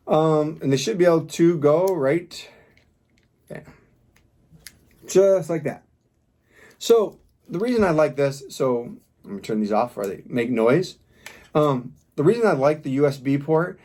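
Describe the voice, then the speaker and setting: A man's voice: mid-range voice, darkish tone. A man doing an informal product review, talking casually as he demonstrates gear step by step.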